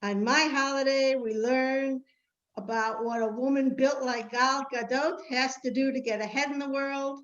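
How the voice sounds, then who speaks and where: A woman's voice: high-pitched, fairly even intonation, a little slow. A woman playing a proud, party-loving biblical queen in a playful scripted comic monologue for amateur reader's theater. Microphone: video call. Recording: dull, roomy video-call audio.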